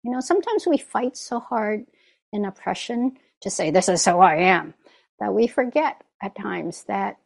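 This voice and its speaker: A woman's voice: medium pitch, animated, moderate pace, vocal fry. A woman meditation teacher giving a reflective dharma talk, often checking in with "right?" and "you know".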